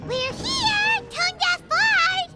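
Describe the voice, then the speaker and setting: A woman voicing a tiny, excitable, cheeky fairy companion in a video game, with a squeaky childlike voice and dramatic exclamations. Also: very slow, full character voice. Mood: scheming. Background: loud music.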